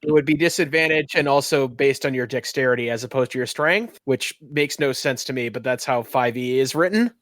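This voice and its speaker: A man's voice: medium pitch, brisk, hardly any pauses, fairly bright tone, no echo. A man casually narrating and making rulings as game master of a tabletop game.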